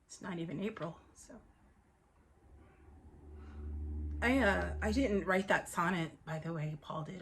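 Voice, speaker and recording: A woman performing a theatrical monologue as a distraught, wry wife pleading against divorce, emphatic and halting, swinging between sarcasm and pleading. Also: mid-range voice, a little hiss or hum, close to an everyday voice. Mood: deflated, sad. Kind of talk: theatrical dramatic comedy monologue.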